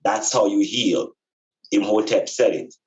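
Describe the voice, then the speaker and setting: A man giving an informal, explanatory spiritual talk in a deliberate, emphatic way, repeating phrases for stress. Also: on the low side, highs cut off. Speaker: podcast speaker and spiritual teacher.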